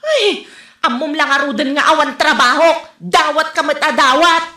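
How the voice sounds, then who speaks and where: A woman's voice: high voice, normal speed, sharp tone. A woman playing a lazy, shameless elder sister and mother with a whiny, brazen manner in a comedy audio drama. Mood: irritated. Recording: echoey, roomy sound.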